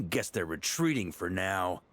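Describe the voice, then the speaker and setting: Bold, animated knight commander. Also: male voice, sits low, slow pace, noisy background, exaggerated voice. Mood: measured, pragmatic. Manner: rousing, confident. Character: bold, hearty battle commander knight, confident and pragmatic.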